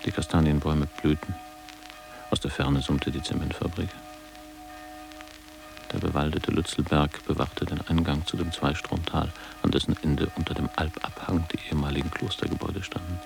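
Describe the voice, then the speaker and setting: An actor playing a reflective ageing former boarding-school pupil, narrating his memories in a measured, literary way for a radio drama. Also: flat delivery, over music, rough recording. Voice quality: deep, gravelly.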